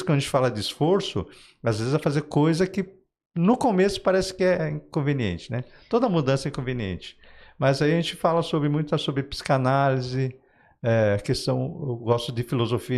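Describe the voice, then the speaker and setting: A Brazilian man with a mid-pitched voice talks as an interview guest. He explains ideas in a conversational, anecdotal way, reaching for everyday examples and often adding small check-in tags. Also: lively intonation, a little fast, darkish tone. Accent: Brazilian Portuguese. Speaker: guest psychoanalysis author.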